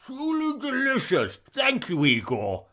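Man acting a flustered older dad, loud and high. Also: measured pace, slightly rough, full character voice. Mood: gleeful, slurred. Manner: blustering, excitable, protesting. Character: older husband and father, flustered, gleeful, childish.

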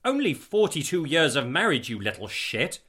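Storybook matriarch, man performing: older, curt, scathing, bright and pointed. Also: fairly high pitch, sing-song, moderate pace, husky, voice pushed up, heavily acted. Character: sharp-tongued older wife and mother, curt.

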